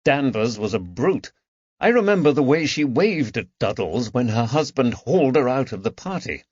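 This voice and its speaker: A man's voice: medium pitch, very animated, moderate pace, slightly rough, no echo, pitched above the performer's own voice. A man voicing an awkward, earnest detective husband. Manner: plain and a little awkward. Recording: slightly dull dry recording.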